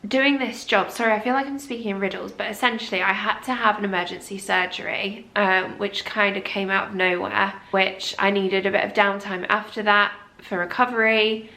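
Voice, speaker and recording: A woman's voice: mid-range voice, steady tone, normal speed, hardly any pauses, bright, forceful tone. A young woman vlogging in a casual, rambling and apologetic way while congested with a cold. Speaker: lifestyle vlogger. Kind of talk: casual chat to camera.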